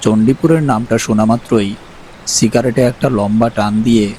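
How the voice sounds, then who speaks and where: A man narrating an animated horror story with a measured, storytelling delivery. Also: fairly low pitch, a little hiss or hum.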